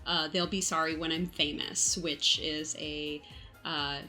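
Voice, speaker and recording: A woman performer chats casually on a podcast. Her voice sits a little low but sounds bright and crisp, with faint music present. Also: flat delivery, measured pace. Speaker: performer, singer.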